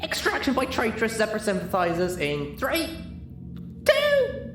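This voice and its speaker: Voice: medium pitch, huge pitch swings, unhurried, reverberant, voice pushed up. A storyteller voicing a cocky military pegasus mare with a confident, clipped delivery.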